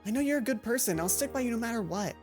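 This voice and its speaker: A woman voicing a loyal teenage schoolgirl who speaks gently and earnestly, as a comforting best friend in a visual novel. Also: a little high, animated, racing, music in the background, close to an everyday voice. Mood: reassuring.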